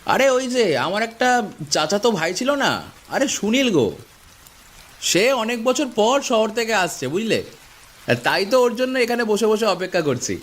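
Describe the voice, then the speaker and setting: A man's voice: high voice, big pitch swings, unhurried, fairly bright tone, voice pushed up, full character voice. A man voicing a chatty, anxious young village man in a cartoon, folksy and full of exclamations. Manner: exclamatory, folksy, repeats himself. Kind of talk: cartoon voice acting.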